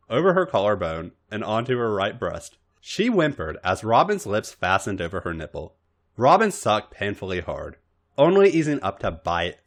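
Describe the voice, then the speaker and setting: A man reading scripted fiction aloud as an expressive story narrator. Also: lowish voice. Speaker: podcast story reader.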